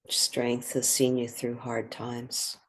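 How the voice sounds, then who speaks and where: A meditation guide speaking in a gentle, contemplative, soothing and measured way, with long pauses between phrases. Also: mid-range voice, completely flat delivery, poor audio.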